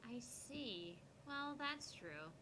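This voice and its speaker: A woman's voice: fairly high pitch, very animated, very slow, bright, forceful tone, slight background noise, heavily acted, low-quality recording. A woman voicing a breezy, evasive young woman in a visual novel, casual and teasing with some hesitation.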